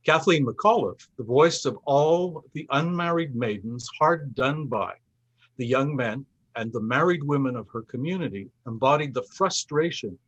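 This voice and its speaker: A man with a husky, mid-pitched voice speaks as the host of a cultural festival. He reads prepared closing remarks in a formal, measured manner, phrasing them with a literary flourish. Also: low-quality recording. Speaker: host giving closing thanks at a festival event.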